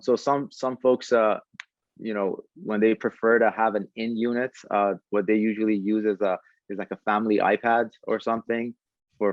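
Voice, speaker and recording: A man giving conversational answers as a podcast guest, speaking in a halting way with frequent pauses. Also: fairly low pitch, no room sound, lo-fi sound. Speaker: podcast guest, tech entrepreneur.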